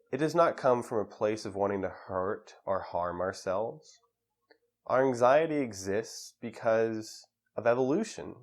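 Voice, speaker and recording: A man leading a guided meditation in a calm, measured way with pauses between phrases. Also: medium pitch.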